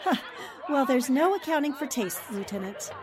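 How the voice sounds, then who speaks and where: An actress playing a plucky young newspaper reporter in a radio crime drama, cool, quick-witted and dryly wry. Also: female voice, sits high, sing-song, a crowd in the background. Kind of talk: old-time radio crime drama acting.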